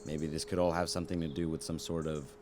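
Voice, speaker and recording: A man playing a calm, probing doctor with a measured, reassuring manner in an audio drama. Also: extremely low pitch, noisy background. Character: calm, probing male doctor, gently authoritative.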